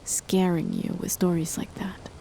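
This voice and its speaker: A woman voicing a cold, controlling female chemist spouse in a soft, measured, often whispered ASMR horror roleplay, her tone condescending. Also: lowish voice, gravelly, exaggerated voice. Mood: menacing. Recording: noisy with rain behind. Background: steady rain.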